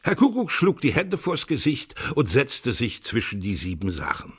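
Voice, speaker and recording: A man's voice: medium pitch, huge pitch swings, moderate pace, fairly bright tone, breathy edge, exaggerated voice. A man narrating a children's radio play as its storyteller, reading scripted prose. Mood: descriptive.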